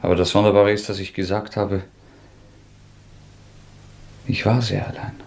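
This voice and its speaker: A man acting a haunted, lonely fugitive with a guilty secret in a radio drama. His low voice is erratic and needling, moving between halting muttering and agitation, over faint noise. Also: flat delivery, a little mellow, close to an everyday voice. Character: nervous, haunted young fugitive murderer, taunting and lonely. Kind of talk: German radio play acting.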